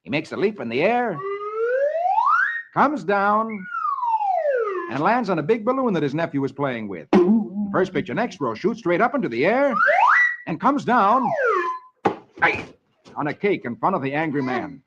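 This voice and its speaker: A man's voice: sits high, moderate pace, long pauses, heavy background noise. A man playing a jolly reader of newspaper funnies in 1940s radio style, theatrical and singsong, on an old muffled recording. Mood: jolly, lively. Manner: animated, singsong, exaggerated announcing. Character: jolly Comic Weekly Man reading funnies to a child. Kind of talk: 1940s radio storytelling, theatrical scripted narration.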